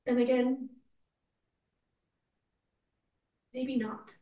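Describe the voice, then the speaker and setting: Actress doing a wistful grieving woman in a play reading, kinda high voice, halting, roomy sound. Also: little pitch movement, narrow, tinny audio. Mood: hesitant, wistful. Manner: halting, reflective, trailing off. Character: wistful, grieving woman remembering her lost female love. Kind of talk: staged play reading, naturalistic monologue. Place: indoor room, live play reading. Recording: echoey room, far from mic.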